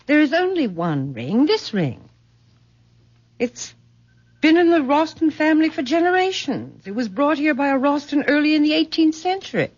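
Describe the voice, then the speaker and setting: A woman's voice: sits high, big pitch swings, normal speed, darkish tone. An actress playing an imperious, bitter middle-aged mother in a haughty, formal, commanding old-time radio style. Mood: haughty, probing. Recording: dull, lo-fi vintage radio recording.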